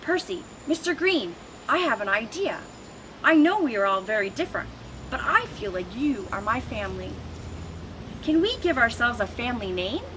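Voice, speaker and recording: A woman voicing a remorseful, excitable young male lizard in a read-aloud storybook. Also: fairly high pitch, normal speed. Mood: earnest. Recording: noisy outdoor recording with traffic.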